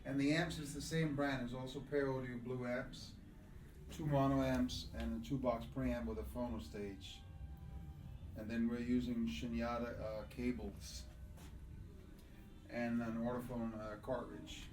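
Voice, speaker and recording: A man's voice: medium pitch, little pitch movement, a little slow. A man giving an earnest, conversational product presentation as an audio distributor. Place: small demo room at an audio show. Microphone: binaural microphone at a distance. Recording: echoey room, distant from mic.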